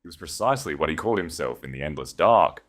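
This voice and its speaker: Deep-voiced dramatic male narrator. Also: expressive, quick pace, a bit bright. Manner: intense, dramatic storytelling.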